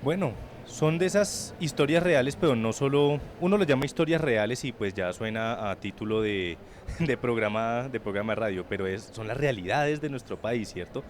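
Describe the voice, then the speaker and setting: A man's voice: medium pitch, normal speed, slight background noise. A man hosting an interview about a book launch, chatty and digressive, asking long conversational questions.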